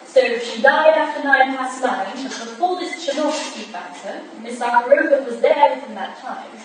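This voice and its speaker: Woman playing questioning period lady, high voice, staged drama, distant from mic. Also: moderate pace, natural voice. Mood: sceptical. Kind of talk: staged reading of a period mystery play. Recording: echoey hall from far off, faint noise.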